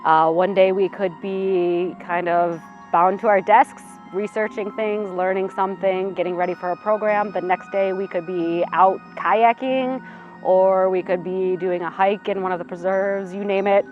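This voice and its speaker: A woman's voice: mid-range voice, steady tone, unhurried. A woman in her thirties from Illinois, a museum program coordinator answering interview questions in an earnest, reflective way with frequent "uh" and "um". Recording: slightly muffled audio with faint music behind.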